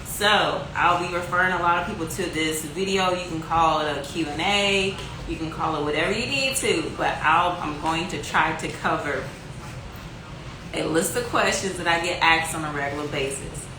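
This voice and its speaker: A woman's voice: low voice, normal speed. A Southern woman in her twenties from North Carolina, chatting casually and upbeat as she answers her viewers' questions. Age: 20s. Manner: chatty, upbeat, talks straight to viewers with lots of fillers. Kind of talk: casual livestream-style Q&A. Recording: echoey room with noticeable background noise.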